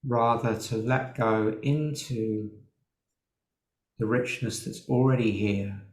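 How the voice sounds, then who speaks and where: A man leading a guided meditation as a teacher, speaking in an unhurried way with long pauses. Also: medium pitch, fairly even intonation. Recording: roomy, echoing sound.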